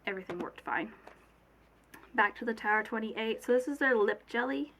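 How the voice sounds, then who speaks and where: Bright-voiced sick young woman vlogger. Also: on the high side, moderate pace, a little hiss or hum. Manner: chatty, rambling, many fillers and self-corrections. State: sick with a cold, voice a little off. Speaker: beauty vlogger. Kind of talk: casual product unboxing chat.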